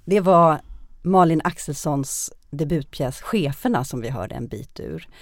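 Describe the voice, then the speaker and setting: A woman hosts a conversational interview podcast. Her intonation is lively and varied, recorded in a dry studio. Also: low voice, gravelly, dry recording. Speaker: podcast host.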